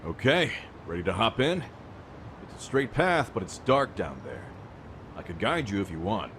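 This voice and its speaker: An actor playing a cocky but friendly gang boss in a video game cutscene, tough and slangy. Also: male voice, on the low side, big pitch swings, normal speed, a bit bright, a little hiss or hum. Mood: amused, friendly.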